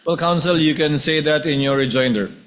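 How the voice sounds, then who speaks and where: A man's voice: fairly high pitch, fairly quick, fairly bright tone. A man presiding over a trial, giving procedural orders with many hesitant ums. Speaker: presiding officer. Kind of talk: formal court procedure.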